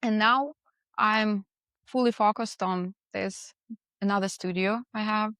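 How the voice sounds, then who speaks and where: A woman's voice: medium pitch, steady tone, a little slow, fairly bright tone, no room sound, studio quality. A woman from Russia with a Russian accent, telling her own story as a guest in a casual interview. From Moscow, Russia. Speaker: podcast guest, portrait photographer.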